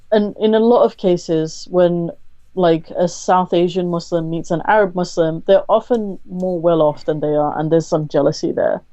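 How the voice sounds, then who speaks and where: A woman guest on a podcast interview, speaking candidly in a thoughtful, measured way with frequent pauses to think. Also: lowish voice, warm tone, no echo, muffled recording.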